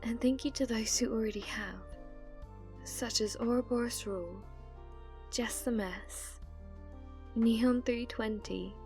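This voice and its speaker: A British woman, an ASMR creator, giving a gentle, soft-spoken channel outro that at times slips into a whisper. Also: fairly high pitch.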